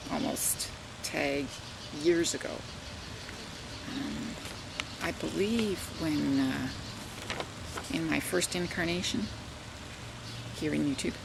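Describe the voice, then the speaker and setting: An older woman from Canada with a Southern Ontario accent, chatting casually to camera as a vlogger and teacher, rambling with many fillers and asides. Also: fairly low pitch. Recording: home recording with clear background noise.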